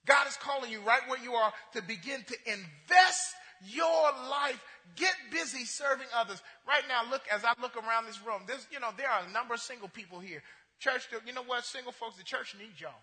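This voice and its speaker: A man preaching a sermon in a church, impassioned, stumbling into restarts and repeated phrases, moving between loud and hushed. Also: very high voice, moderate pace, in a large hall. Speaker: pastor. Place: church sanctuary.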